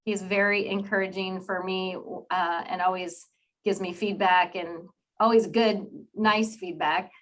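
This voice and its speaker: A woman's voice: mid-range voice, fairly even intonation, unhurried, a bit bright, low-quality recording. A woman hosting a casual interview, chatty and encouraging, with frequent fillers. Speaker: interview host.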